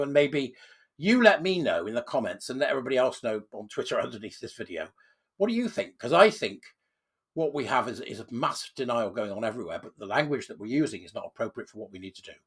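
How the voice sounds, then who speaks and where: A man with a cold delivers an insistent commentary monologue, his voice croaky and husky. His pitch swings widely as he hammers key words with sudden loud stresses. He sits at a middling pitch for a man. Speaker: channel host.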